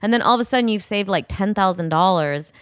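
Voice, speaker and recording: A woman's voice: mid-range voice, quick pace, no echo, studio quality. A woman co-hosting a comedy podcast, talking in a casual, informal, conversational way. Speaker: comedy advice podcast co-host. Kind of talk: casual bantering chat.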